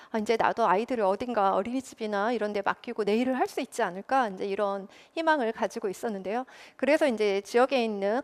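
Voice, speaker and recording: Candid woman lecturer, slightly high voice. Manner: candid, self-deprecating, many fillers. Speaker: career lecturer. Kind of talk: personal career story lecture. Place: lecture recording.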